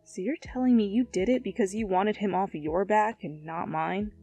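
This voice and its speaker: A woman's voice: medium pitch, normal speed, dark tone, breathy edge, faint music behind. Woman as an earnest, anxious schoolgirl heroine. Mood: questioning.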